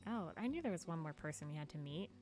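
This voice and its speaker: A woman's voice: low voice, expressive, quick pace, darkish tone, soft background music. A woman chatting casually on a livestream while gaming, with a playful, giggly, self-deprecating manner. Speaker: video game livestreamer.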